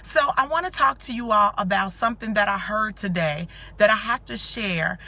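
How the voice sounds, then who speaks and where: A woman in her forties talks to her live-stream audience with a hoarse, scratchy voice, worn down from cheering. Her tone is still bright and forward. She chats loosely and greets viewers by name as she goes. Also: mid-range voice, normal speed. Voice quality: scratchy, growly. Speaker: live-stream host and coach for mothers. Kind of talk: casual motivational live stream.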